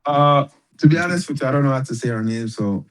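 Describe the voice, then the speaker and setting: Slangy, halting man hosting casually. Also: medium pitch, poor audio. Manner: slangy, halting, repeats words. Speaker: podcast host. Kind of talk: casual chat.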